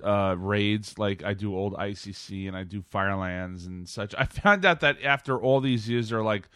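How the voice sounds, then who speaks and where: A man co-hosting a casual gaming podcast, easygoing, laughing often and chiming in with quick agreement. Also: lowish voice, fairly bright tone, smooth, no echo, clean studio sound. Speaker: podcast co-host.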